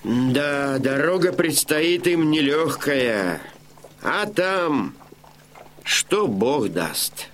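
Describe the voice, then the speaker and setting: Slow, high-pitched Cossack warrior. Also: male voice, lively intonation, a bit bright, a little hiss or hum, exaggerated voice. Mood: sober, resigned. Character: sober Cossack warrior weighing hard odds. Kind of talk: Russian radio drama acting.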